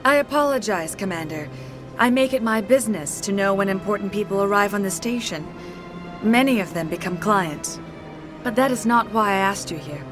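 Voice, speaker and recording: An actress voicing a refined, composed alien consort who speaks in calm, gracious sentences. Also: female voice, mid-range voice, normal speed, natural voice. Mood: poised. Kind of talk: video game voice acting. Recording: game audio over music.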